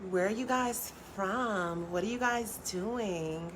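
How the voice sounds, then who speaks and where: A tarot reader chats with her viewers on a live stream, soft-spoken and laid back. Her natural voice sits low and she rarely raises it. She fills her talk with "like" and swears casually, over a faint background hiss. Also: slow.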